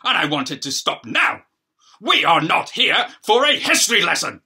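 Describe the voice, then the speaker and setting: A man reads the part of an imperious tribunal judge in a stage play. His voice is husky and bright, with a very lively pitch, and he gives stern, impatient orders. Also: normal speed, full character voice. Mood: angry. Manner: stern, bossy, clipped commands.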